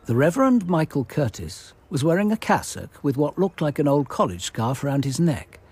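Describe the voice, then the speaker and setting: An actor playing a measured, observant police detective narrating his investigation in a radio drama. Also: on the high side, big pitch swings, a little mellow, slightly rough, full character voice. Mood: calm, observant.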